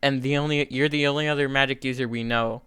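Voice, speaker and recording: A man's voice: mid-range voice, fairly even intonation, fast, a bit bright, clear, resonant tone, dry recording, studio quality, close to an everyday voice. A man voicing a blunt, commanding German man with a German accent in a comic story. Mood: exasperated. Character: stern, accusing German man, personified country.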